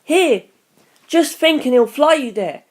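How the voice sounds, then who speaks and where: Reader putting on eager friendly teen boy sidekick, voice pushed up high, action audiobook. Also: expressive, measured pace.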